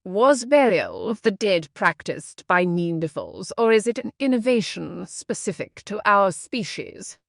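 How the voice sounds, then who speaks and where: Slow, expressive woman reading aloud. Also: medium pitch, creaky, no echo, clean studio sound. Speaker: exam practice reader. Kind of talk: scripted read-aloud narration.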